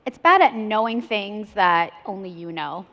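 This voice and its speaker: A woman's voice: medium pitch, unhurried. A woman in her thirties on a conference panel, talking fluently and casually with many "like" and "you know" fillers. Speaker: startup CEO panelist. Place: large conference stage. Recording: reverberant hall, dull lo-fi sound.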